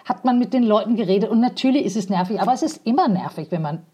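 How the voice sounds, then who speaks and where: Mellow-voiced woman, hesitant and frank. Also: fairly high pitch, animated, fast talker, husky. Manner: thoughtful, hesitant, many fillers, frank. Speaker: guest, cycling and city mobility advocate. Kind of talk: casual interview answers.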